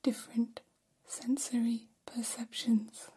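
A curious, gentle female alien played by a woman murmurs in a soft, breathy whisper for ASMR. The pace is extremely slow and the tone stays even and mellow. Phrasing is formal and careful, as if studying humans kindly. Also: fairly high pitch, heavily acted. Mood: gentle, reassuring. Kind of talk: ASMR personal attention roleplay.

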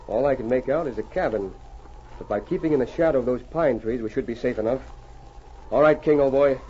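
A man playing a calm, resolute Mountie sergeant in an old radio drama, speaking in a firm, measured, commanding way. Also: mid-range voice, background noise, natural voice. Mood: quiet, watchful. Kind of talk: 1940s radio acting. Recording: muffled old radio recording.

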